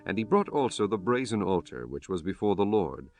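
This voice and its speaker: A man narrating a dramatized Bible audiobook in a solemn, measured, formal way. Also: low-pitched, sing-song. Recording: soft music bed under the voice.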